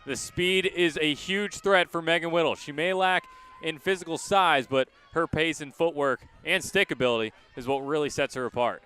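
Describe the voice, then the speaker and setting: A man calling live college games as a radio play-by-play announcer, energetic and projecting, often raising his voice. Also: a little high, normal speed, faint music behind. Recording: clear live sports venue background noise.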